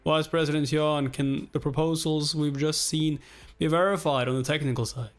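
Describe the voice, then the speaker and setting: A commanding male corporate executive, read aloud by a man, speaks with a slightly high voice. He is formal, measured and coolly decisive, in webtoon boardroom drama. Music plays faintly behind. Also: steady tone, natural voice. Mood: probing, formal.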